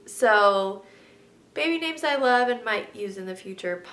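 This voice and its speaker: A woman's voice: lively intonation, unhurried, fairly bright tone. A young woman vlogger with a stuffy, congested nose, chatting casually in a sit-down video and filling her talk with ums and likes. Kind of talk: casual sit-down vlog chat. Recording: echoey room, faint rumble.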